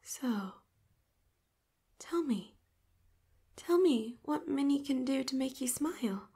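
A devoted young robot girl with a possessive streak, played by a woman in an audio roleplay. She murmurs in a breathy, slightly high half-whisper. She takes her time, lingering over each word as she dotes on her Master. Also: expressive, takes it slowly, dark tone, exaggerated voice. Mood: tender. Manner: doting, speaks to listener as Master. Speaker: voice actor.

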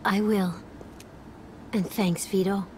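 A woman's voice: mid-range voice, steady tone, slow, a little mellow, slight background noise, close to an everyday voice. An actress playing a young woman visiting her brother in prison, earnest and concerned, in a video game cutscene. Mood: worried. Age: young adult. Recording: music under the voice.

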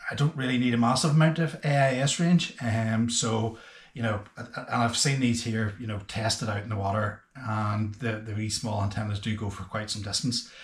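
A man, hosting a casual boating explainer to camera in a hesitant, unscripted way with frequent pauses. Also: fairly low pitch, fairly even intonation. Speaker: boating video host. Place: small indoor workshop room with bare walls. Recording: echoey bare room.

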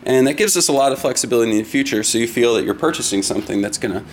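A man presents a product demonstration in a medium-pitched voice. He talks in an explanatory way, laying out each point step by step, as a demonstrator would. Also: expressive, very fast, background noise. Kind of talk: semi-scripted product demonstration.

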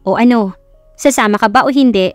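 A woman's voice: on the high side, a little fast, soft background music, natural voice. Woman doing a sassy, blunt young best friend. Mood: teasing. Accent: Filipino. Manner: slangy, exasperated teasing.

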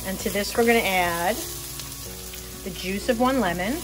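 A woman's voice: mid-range voice, normal speed, rough recording. A middle-aged woman explaining a recipe step by step in a casual cooking demonstration. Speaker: cooking show host. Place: home kitchen, indoors. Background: music, frying and sizzling sounds.

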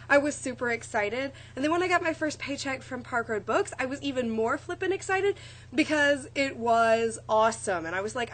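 Bubbly, slightly hoarse young woman on a webcam. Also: high-pitched, fairly bright tone. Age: young adult. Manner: chatty, bubbly, rambling with many fillers. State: a little hoarse. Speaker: vlogger. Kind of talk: casual chat to friends. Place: small dorm room, indoors. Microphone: webcam at close range.

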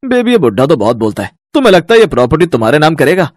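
Cartoon villain boyfriend, acted by a man: young, insolent, defiant, raised pitch, husky. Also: sing-song, racing, dry recording, studio quality. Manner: defiant, contemptuous.

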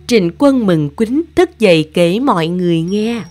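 A woman reading scripted narration for an animated folk tale. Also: medium pitch, a little slow, soft background music.

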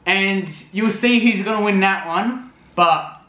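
A man's voice: high voice, slow pace, pushed and bright, echoey room, lo-fi sound. A young man narrating a casual vlog voice-over, rambling with lots of fillers. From Australia. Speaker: vlogger.

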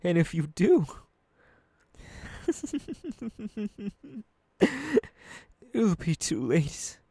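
A man playing a menacing, unhinged young supervillain boss in an ASMR audio roleplay, threatening his underlings slowly and deliberately. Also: fairly high pitch, sing-song, mellow, slightly rough, no echo, heavily acted. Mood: gloating menace.

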